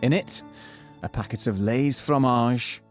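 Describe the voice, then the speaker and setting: An actor narrating a comic detective drama in a measured, deadpan scripted style. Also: male voice, mid-range voice, faint music behind, close to an everyday voice. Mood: deadpan narration.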